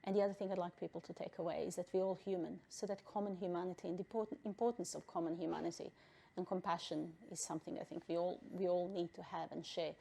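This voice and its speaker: A middle-aged woman from Bosnia, a refugee council president, answering interview questions in a measured, thoughtful way with frequent pauses and fillers. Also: fairly low pitch, fairly even intonation, gravelly, warm tone, breathy edge.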